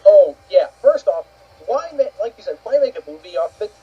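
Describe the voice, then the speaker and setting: High pitched guy, super raspy, sarcastic casual co-host chat, muffled lo-fi audio. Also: very animated, fairly quick. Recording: slightly dull lo-fi sound.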